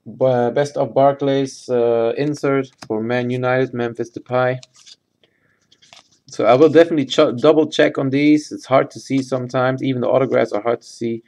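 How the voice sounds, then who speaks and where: A man giving casual, halting live commentary as he hosts a sports card box break, matter-of-fact in manner. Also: medium pitch, steady tone, a little mellow. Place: indoors at a tabletop.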